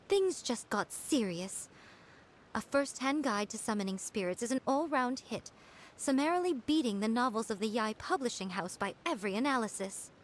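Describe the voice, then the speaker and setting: A woman's voice: a little high, animated, moderate pace, a little hiss or hum, close to an everyday voice. A woman voicing a haughty, teasing female fox-spirit shrine maiden in a video game, poised and knowing. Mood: indignant.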